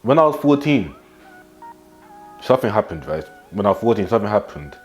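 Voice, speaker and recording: A man's voice: lowish voice, big pitch swings, brisk, soft background music. Blunt teenage man giving a pep talk. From England. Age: teens. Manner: blunt, slangy, repeats lines for emphasis. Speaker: fitness and self-improvement vlogger. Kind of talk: motivational talk to camera. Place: small room at home, indoors.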